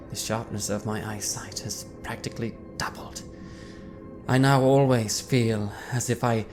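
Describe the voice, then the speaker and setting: A man reading his tabletop character's backstory aloud in the first person with a measured storytelling cadence. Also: medium pitch, a little mellow. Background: faint background music.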